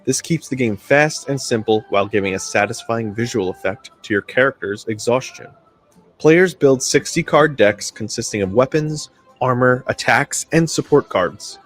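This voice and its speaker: A man's voice: mid-range voice, moderate pace. A man reading scripted promotional narration that explains things step by step. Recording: music bed behind voice. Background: music.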